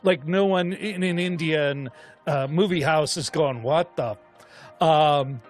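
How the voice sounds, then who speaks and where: A man co-hosting a film discussion show, chatting casually in a rambling, animated way as he thinks aloud. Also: fairly high pitch, a little slow, faint music behind. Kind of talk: casual chat.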